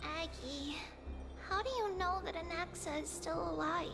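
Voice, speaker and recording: A woman voicing a small, cute, excitable girl companion, anxious and earnest, in an animated fantasy game. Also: very high voice, unhurried, creaky, music in the background, heavily acted. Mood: worried.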